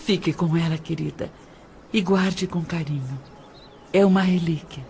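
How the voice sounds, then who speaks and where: A woman playing a practical middle-aged adoptive mother in an audio drama, explaining in measured phrases with emphatic pauses. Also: lowish voice, darkish tone, slight background noise. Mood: firm, consoling. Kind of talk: dramatized audio novel dialogue.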